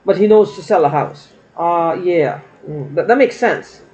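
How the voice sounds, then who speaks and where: A woman's voice: lowish voice, unhurried, warm tone, slight background noise. A woman talks straight to her camera in a long, aggrieved monologue that wanders and repeats itself. The sound is muffled and lo-fi. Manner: rambling, aggrieved, repeats herself. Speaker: vlogger. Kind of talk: rambling monologue vlog. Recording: dull lo-fi recording.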